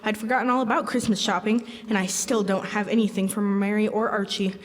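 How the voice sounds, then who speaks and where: A woman's voice: mid-range voice, steady tone, a little fast, close to an everyday voice. Woman playing a harried suburban dad, echoey hall. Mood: flustered, exasperated. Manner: exasperated, grumbling. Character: harried, impatient middle-aged suburban dad. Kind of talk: live classic radio drama acting. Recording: echoey stage hall, background noise.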